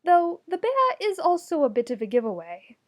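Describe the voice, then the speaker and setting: A woman acts out an earnest young woman in an audiobook story, high in pitch and formal in phrasing. She sounds measured, kindly and utterly sincere as she counsels. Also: big pitch swings, a little mellow. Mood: sincere, compassionate.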